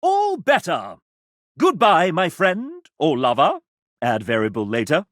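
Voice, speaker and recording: A man's voice: on the high side, huge pitch swings, a little slow, a bit bright, no room sound, studio quality, exaggerated voice. A man voicing a sassy, randy, flamboyant video game mascot with a theatrical, cheeky, flirtatious delivery. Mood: breezy, theatrical. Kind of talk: video game character voice acting.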